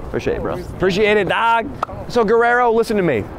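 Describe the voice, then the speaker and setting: A man's voice: high-pitched, animated, fast talker, a crowd in the background. A young man hosting an outdoor comedy street show, bantering in brash, joking slang. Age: young adult. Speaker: prank show host. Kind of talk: casual banter, comic street bits. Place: outdoor city plaza.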